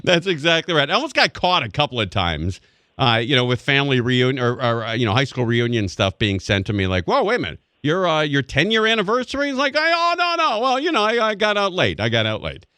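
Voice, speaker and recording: Lively bright-voiced man hosting radio. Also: a little high, fast, smooth, dry recording. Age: 40s. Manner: jokey, animated, laughs along. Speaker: radio talk show host. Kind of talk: casual radio chat. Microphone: studio microphone.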